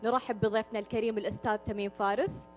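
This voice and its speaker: Lady hosting onstage, formal guest intro, talks super fast, bit of music behind. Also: mid-range voice, steady tone. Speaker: event host and presenter.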